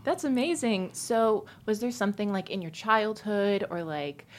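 A woman's voice: mid-range voice, normal speed. A woman hosting an interview podcast, encouraging and casual, often saying "like". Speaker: podcast host.

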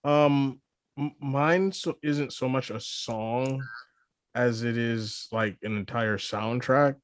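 Male American podcast voice, mid pitch, halting casual delivery, dry sound. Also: no room sound. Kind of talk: casual chat.

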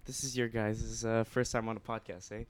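A man's voice: lowish voice, flat delivery, normal speed, noisy background. A young man hosting a casual home podcast, joking around and laughing often with his friends. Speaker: podcast host. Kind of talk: casual chat.

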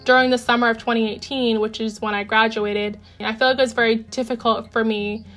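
A young woman in her twenties tells her own story in a deliberate, reflective way. Her voice sits slightly high with a flat, even pitch, and faint music runs underneath. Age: 20s. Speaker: interviewee. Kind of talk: personal account.

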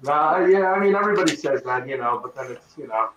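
A man's voice: mid-range voice, lively intonation, muffled recording, background noise. Man on a distant, roomy call. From Texas, north of Dallas. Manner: rambling, halting with restarts. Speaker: guest. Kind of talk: casual interview talk.

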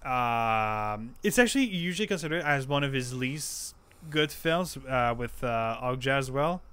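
A young man with a smooth, clean-toned voice talks about films with eager enthusiasm, swearing casually when something excites him. His pitch is mid-range for a man. He sounds like a devoted film fan co-hosting a relaxed podcast. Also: unhurried. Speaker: podcast co-host. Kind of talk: casual chat.

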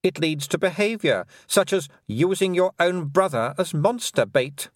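A man's voice: fairly high pitch, expressive, a little slow, no echo, clean studio sound, pitched above the performer's own voice. A man voicing a silky, mocking fairy queen. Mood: cruel. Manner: mocking, condescending, sweetly cruel. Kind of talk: audiobook character voice.

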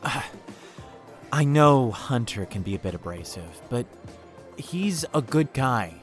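A man's voice: mid-range voice, sing-song, slow pace, soft background music. A man playing an easygoing, loyal young peacemaker in an audio drama, hesitant and conciliatory in manner. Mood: weary, conciliatory.

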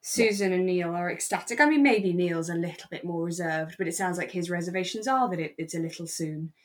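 A British woman co-hosting a podcast, talking casually in an animated, emphatic way. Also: on the low side, brisk. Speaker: podcast co-host. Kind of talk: casual chat.

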